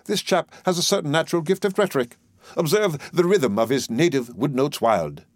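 A man voicing an imperious, excitable professor in a play reading, declamatory and overbearing. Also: a little high, fairly quick, no echo, studio quality, voice pushed up. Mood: amused, scornful. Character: imperious, excitable male professor, scornful and bossy.

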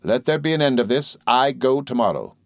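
Man voicing a haughty, curt woman, pitched up. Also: big pitch swings, fairly quick, dry recording, clean studio sound. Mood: curt, offended. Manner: curt, imperious. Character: haughty, firm unmarried sister, domineering woman.

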